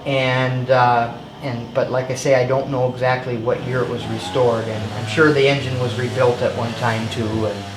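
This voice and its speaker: A man from Michigan chatting casually as an interview guest, halting and repeating words as he goes. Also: mid-range voice, little pitch movement. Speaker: interview guest, car collector. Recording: echoey, clearly noisy location sound.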